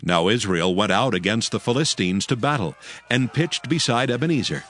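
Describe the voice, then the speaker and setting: A man narrating scripture from a script in a solemn, measured and formal way. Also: lowish voice, expressive, fairly bright tone. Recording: music bed behind the voice.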